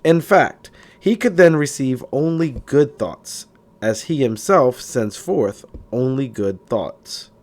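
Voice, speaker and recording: Man reading aloud with lively, swooping pitch. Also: slow pace. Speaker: narrator. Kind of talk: audiobook-style reading of a self-help book.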